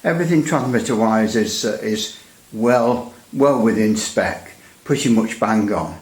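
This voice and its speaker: A man giving a casual hands-on equipment demonstration as a hobbyist, thinking aloud in a halting way. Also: fairly low pitch, animated. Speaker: radio repair hobbyist presenter. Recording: echoey room.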